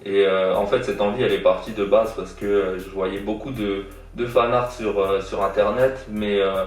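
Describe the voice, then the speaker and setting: A man's voice: fairly low pitch, little pitch movement, moderate pace. A young man born in Iran and raised in France, a guest artist answering interview questions casually, hesitant, with many fillers and repeated words. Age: young adult. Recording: echoey room, distant from mic.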